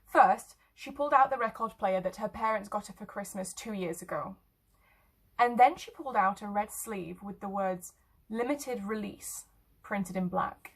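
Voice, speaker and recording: A woman's voice: medium pitch, moderate pace, husky, poor audio. A woman narrating a short story as a scripted fiction reading.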